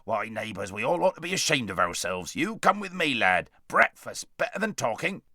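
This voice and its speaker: A man voicing a brisk, kindly red dwarf who talks in a bossy, bustling way with loud exclamations. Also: mid-range voice, very animated, no echo, studio quality, exaggerated voice.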